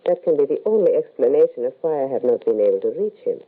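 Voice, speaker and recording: An actor playing a wise, cautious occult medium in an old radio drama, speaking in a measured, formal, ominous way. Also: male voice, mid-range voice, very animated, narrow, tinny audio. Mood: measured, probing. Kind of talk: 1940s radio drama acting. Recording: band-limited vintage radio recording.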